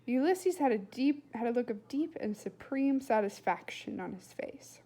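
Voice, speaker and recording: Storybook narrator, woman, slightly high register, expressive read-aloud delivery. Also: moderate pace. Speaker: storybook reader.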